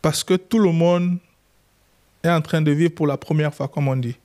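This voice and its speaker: Casting: young Chadian man, slightly high voice, lively storyteller who acts out quotes, accented French. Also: moderate pace. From Chad. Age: young adult. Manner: animated, acts out quoted dialogue. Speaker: guest lawyer. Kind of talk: casual interview storytelling.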